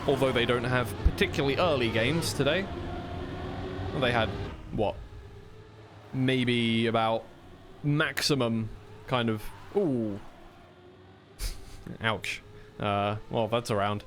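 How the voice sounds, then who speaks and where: A man's voice: medium pitch, slow pace, pauses often, background noise. A man commentating live on an esports racing match, casually rambling and correcting himself between bursts of play-by-play. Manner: rambling, self-correcting asides.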